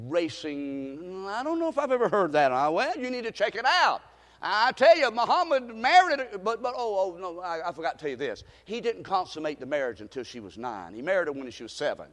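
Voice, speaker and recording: A man preaching a sermon and telling a story, with stammering restarts, swinging from hushed to shouting. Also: fairly high pitch. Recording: reverberant hall.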